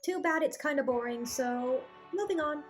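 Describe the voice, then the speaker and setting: A woman reviewer giving casual, opinionated cartoon commentary with animated asides and exclamations. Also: high voice, normal speed, soft background music. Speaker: video reviewer.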